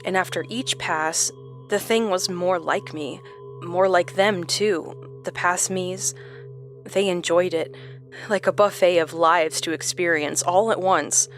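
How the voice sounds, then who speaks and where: An actress playing a haunted, trapped woman who gives a measured, brooding monologue in a horror audio drama. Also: fairly low pitch, soft background music. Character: haunted woman trapped in endless relived lives.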